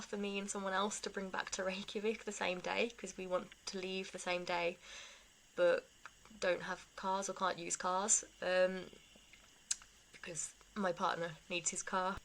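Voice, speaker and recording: Young female vlogger, casual rambling delivery with self-deprecating asides, mid pitch, creaky edge. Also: level tone, moderate pace, a bit bright, slight background noise, low-quality recording. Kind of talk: casual life-update chat to camera.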